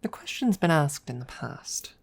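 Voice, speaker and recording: A woman voicing a haughty, centuries-old dragon rival who is secretly smitten, speaking haltingly in a close-miked ASMR roleplay. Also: sits low, very animated, gravelly, husky, heavily acted. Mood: irritated, smitten. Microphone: close microphone.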